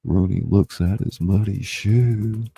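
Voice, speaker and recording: Low, gravelly male narrator. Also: fairly even intonation, slow pace, warm tone, soft background music. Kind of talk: scripted narration of stage directions.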